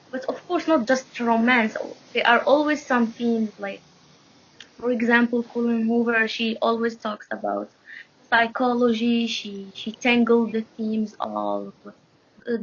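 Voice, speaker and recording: Woman, 20s, Moroccan accent, Arabic native, husky, higher voice, muffled call audio. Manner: laughs often, speaks with many fillers and restarts. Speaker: guest. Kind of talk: casual video call chat. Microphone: phone camera on video call.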